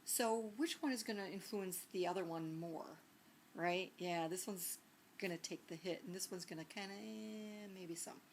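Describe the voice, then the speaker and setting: A woman's voice: on the low side, normal speed, slight background noise. A woman teacher giving a recorded lecture in a conversational way, with many ums and restarts and frequent rhetorical questions.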